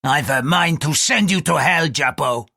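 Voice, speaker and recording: A man's voice: on the high side, measured pace, creaky, sharp tone, no echo, clean studio sound, full character voice. A man voicing a crude, lecherous male ship's bosun who leers and threatens. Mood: threatening. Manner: coarse, leering, threatening.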